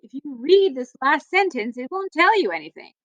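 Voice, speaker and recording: Animated high-voiced woman reading aloud. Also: no room sound, highs cut off. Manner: playful, theatrical emphasis with drawn-out phrases. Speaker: teacher or school librarian reading aloud. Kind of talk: expressive read-aloud of a children's storybook.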